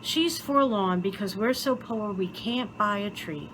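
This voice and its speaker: A woman's voice: medium pitch, measured pace, a bit bright, a little hiss or hum, natural voice. A woman voicing a poor, dutiful older boy in a storybook, speaking sincerely and plaintively. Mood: wistful, hopeful.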